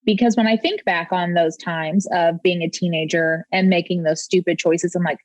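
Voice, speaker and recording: A woman's voice: lowish voice, steady tone, moderate pace, darkish tone, dry recording. A woman talking as a podcast guest in an earnest way, repeating phrases for emphasis. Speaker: podcast guest, life coach. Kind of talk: casual conversation. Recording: dull lo-fi sound.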